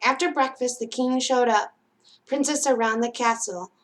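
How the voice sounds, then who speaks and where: A woman's voice: a little high, moderate pace. A woman reading a storybook aloud to children as a storytime narrator. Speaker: children's storytime reader at a library.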